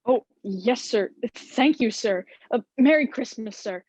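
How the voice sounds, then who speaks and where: A woman's voice: fairly high pitch, lively intonation, a little slow, darkish tone, dry recording. An eager, cheeky small boy from a Victorian street voiced by a young woman in a radio play. The sound is dull and dry, and the lad is polite and keen. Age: young adult. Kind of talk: 1930s radio play acting.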